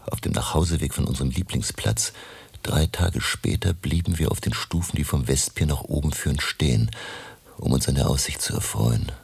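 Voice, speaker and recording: An actor playing a courteous, earnest older professor in a radio play, speaking in a deliberate, courtly way. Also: male voice, rumbling low, gravelly, breathy edge, dry recording, full character voice. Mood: measured, absorbed. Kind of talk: German radio play acting.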